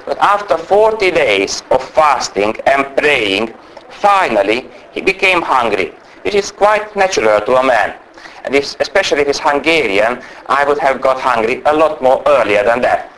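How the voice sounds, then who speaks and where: A man giving a sermon as a guest preacher. He speaks English with a strong non-native accent, talks fast by nature and tries to slow down, and sounds under the weather with a cold. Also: medium pitch, a bit bright, a little hiss or hum, rough recording. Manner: naturally fast talker trying to speak slowly. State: unwell with a cold or flu.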